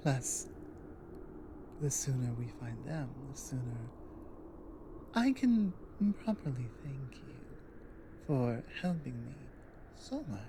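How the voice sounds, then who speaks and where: A woman voicing a big, affectionate female orc companion in an intimate ASMR roleplay, speaking softly and slowly in a breathy half-whisper. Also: extremely low pitch, big pitch swings, noisy background, voice pushed down, heavily acted. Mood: tender, longing. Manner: slow, intimate, tender.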